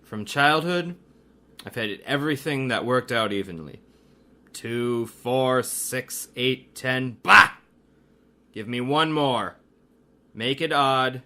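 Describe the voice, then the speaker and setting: Guy doing a greedy evil genius doctor, theatrical radio villain, slow and forceful. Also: medium pitch. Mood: gloating, grandiose. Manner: grandiose, theatrical, deliberate. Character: gloating criminal mastermind, male hypnotist doctor, grandiose and greedy. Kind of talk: radio mystery drama acting.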